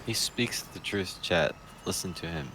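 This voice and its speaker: Slow, lively low-voiced man. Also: vocal fry, slight background noise, rough recording. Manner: teasing, hyping up the host to chat. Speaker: stream co-host. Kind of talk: casual live-stream chat.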